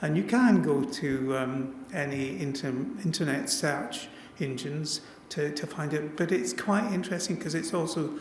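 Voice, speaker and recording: A man's voice: on the high side, a little slow, hardly any pauses, slight background noise. A man chairing a festival event, asking questions with enthusiasm and frequent restarts. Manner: enthusiastic, self-interrupting. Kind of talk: interview questions. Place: lecture hall at a festival event. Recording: roomy hall with faint ambience.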